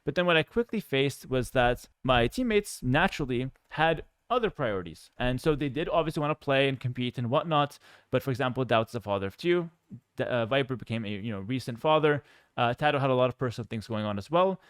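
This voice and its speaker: A man's voice: mid-range voice, lively intonation, brisk, smooth, no room sound. A man, giving a candid, reflective personal talk and explaining things with frequent conversational asides. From Canada. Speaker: professional gamer and content creator.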